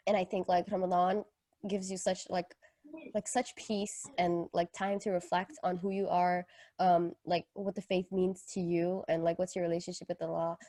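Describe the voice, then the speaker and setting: A woman's voice: fairly low pitch, level tone, normal speed, warm tone, dry recording, clean studio sound. A young woman from Kenya, a podcast co-host telling personal stories in casual, slangy, jokey talk. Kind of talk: casual chat, personal storytelling.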